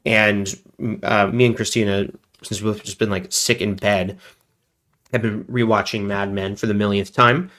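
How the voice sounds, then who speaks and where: A man with a fairly low voice hosts a casual livestream while sick with a cold. He rambles loosely, cracks jokes and pokes fun at himself. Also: little pitch movement, unhurried, fairly bright tone. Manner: rambling, joking, self-deprecating. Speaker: livestream host.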